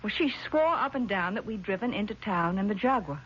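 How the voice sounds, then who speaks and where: An actress playing a composed, doubting sister in a 1970s radio drama, measured, patronizing and patiently insistent. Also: female voice, medium pitch, expressive, a bit bright, breathy edge. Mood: confiding, concerned. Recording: muffled vintage radio broadcast, faint noise.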